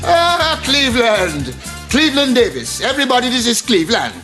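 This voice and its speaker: A man's voice: extremely high pitch, very animated, moderate pace, over music. A middle-aged actor playing a fussy, boastful man with a Guyanese accent, in a sitcom. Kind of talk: sitcom acting.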